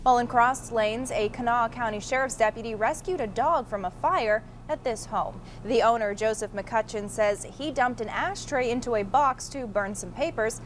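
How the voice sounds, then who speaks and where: Woman news anchor, higher-pitched American voice. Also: normal speed, few pauses, a little hiss or hum. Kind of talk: scripted news reading.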